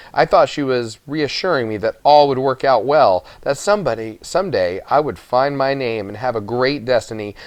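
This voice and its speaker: A man's voice: medium pitch, no room sound. A man narrating a children's book expressively as a story reader.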